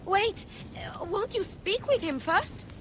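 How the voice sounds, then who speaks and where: An actress playing an earnest, anxious young temple priestess in a video game drama. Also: female voice, very high-pitched, lively intonation, takes it slowly, background noise, exaggerated voice. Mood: hesitant.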